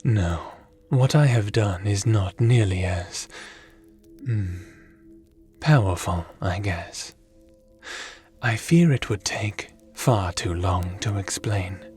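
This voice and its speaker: A man playing a calm, curious, formal god-like spirit in an ASMR audio roleplay, speaking in measured, pensive phrases. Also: fairly low pitch, slightly rough, faint music behind, heavily acted. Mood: calm, evasive.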